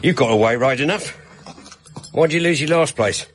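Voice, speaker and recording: A man's voice: medium pitch, normal speed, a little hiss or hum, lightly acted. An actor playing a blunt, chummy working-class coachman in a radio drama, with a British accent and colloquial manner. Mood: scolding, friendly.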